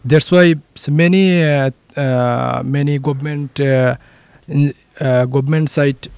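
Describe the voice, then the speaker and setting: A young man with a Bangladeshi accent, a student answering questions in halting, repetitive non-native English. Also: a little high, fairly even intonation, gravelly, a little mellow, clean tone, no echo. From Sylhet, Bangladesh. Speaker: student being interviewed.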